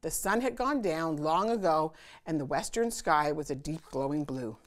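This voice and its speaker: A woman hosting a children's story time, reading aloud and pausing to chat with and question her young listeners. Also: deep voice, animated. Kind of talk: animated read-aloud of a picture book for children.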